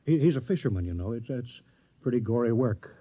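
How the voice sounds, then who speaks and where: A man's voice: medium pitch, expressive, fairly quick, husky. A man playing a mild, apologetic, flustered village mayor in a 1950s radio drama, hesitant and stammering. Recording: dull old radio recording.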